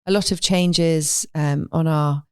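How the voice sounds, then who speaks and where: Smooth, low-voiced London Englishwoman. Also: steady tone, takes it slowly, darkish tone, no room sound, studio quality. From London, England. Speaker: podcast guest, animal sanctuary owner. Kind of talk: reflective interview conversation.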